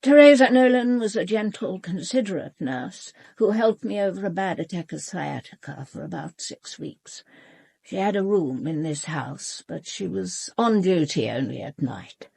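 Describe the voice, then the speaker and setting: Husky, creaky elderly British aristocrat. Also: female voice, lowish voice, expressive, normal speed, a little mellow, no echo, heavily acted. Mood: haughty, controlled. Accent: upper-class British English. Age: older. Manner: formal, clipped, haughty, precise. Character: elderly aristocratic mother, proud, controlled, coolly dismissive in grief. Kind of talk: radio drama acting.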